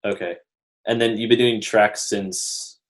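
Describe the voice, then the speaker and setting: A man's voice: fairly low pitch, steady tone, measured pace. A teenage young man hosting a podcast, asking questions in a casual, inquisitive way. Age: teens. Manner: inquisitive, reacts with surprise. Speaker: podcast host. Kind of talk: casual interview chat.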